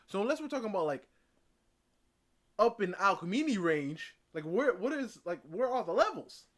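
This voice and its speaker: A man's voice: sits high, very animated, normal speed, a bit bright. A man giving casual commentary in a slangy, rambling, emphatic way. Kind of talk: casual reactive commentary in chat.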